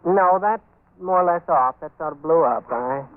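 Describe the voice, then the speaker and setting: A man playing a dim, earnest, literal-minded stooge in 1930s radio comedy crosstalk, halting and deadpan. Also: a little high, lightly acted. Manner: halting, stammering, agreeable, deadpan. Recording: tinny, band-limited 1930s radio recording.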